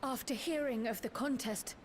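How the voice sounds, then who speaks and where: A woman playing a polite young scholar, a little high. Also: moderate pace, lightly acted. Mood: courteous. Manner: polite, courteous. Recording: game audio with faint noise.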